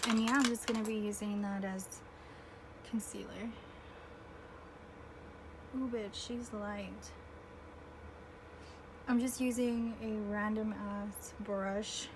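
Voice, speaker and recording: A woman in her twenties chatting casually through a makeup tutorial, self-deprecating and often clearing her throat, recorded on her phone at home. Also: medium pitch, fairly even intonation, slow, pauses often, noisy background. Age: 20s. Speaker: beauty vlogger. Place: home room with air conditioner. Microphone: phone camera.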